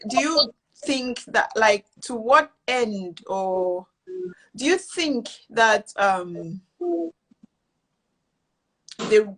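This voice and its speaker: A woman's voice: medium pitch, slight background noise, low-quality recording. A Cameroonian woman hosting a casual chat show, playful and teasing, slipping into pidgin. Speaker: host.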